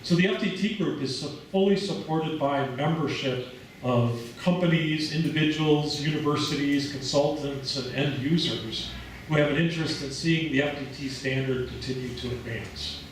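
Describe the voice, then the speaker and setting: American guy giving a talk, kinda high voice, super echoey hall, mic a bit far off. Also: normal speed, a little hiss or hum. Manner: relaxed explanatory speaking with fillers. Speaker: presenter. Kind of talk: conference presentation.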